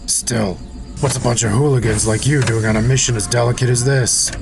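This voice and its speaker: An actor playing a patient, level-headed soldier and mentor in an audio drama. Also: male voice, medium pitch, steady tone, a little fast, gravelly, lightly acted. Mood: firm.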